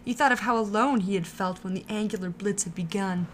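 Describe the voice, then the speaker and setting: Calm woman narrating a story. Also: medium pitch, fairly quick, slight background noise.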